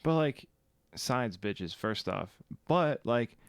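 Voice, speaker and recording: A man's voice: mid-range voice, lively intonation, slow, clear, resonant tone, dry recording, clean studio sound. A man songwriter, a guest on a podcast, chatting easily and joking with casual swearing. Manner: easygoing, joking, profane. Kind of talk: casual chat.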